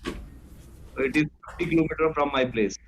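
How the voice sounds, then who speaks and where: A man's voice: fairly high pitch, moderate pace, creaky. A man with an Indian accent chatting casually over a muffled, noisy video call. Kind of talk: casual chat.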